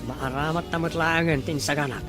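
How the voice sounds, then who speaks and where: A woman's voice: low voice, steady tone, moderate pace, over music, close to an everyday voice. A woman playing a cheerful, teasing friend in an Ilocano audio drama.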